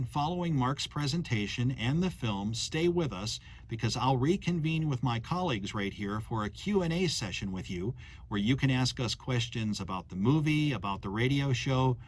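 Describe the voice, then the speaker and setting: A man's voice: mid-range voice, a little hiss or hum. A man who works as a radio station manager gives a scripted welcome from a studio. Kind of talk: scripted welcome address to camera.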